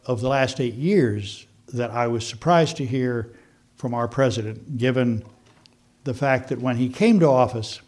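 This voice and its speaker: An older man, a former diplomat, giving an unscripted talk on foreign policy, halting with many fillers and restarts. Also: mid-range voice.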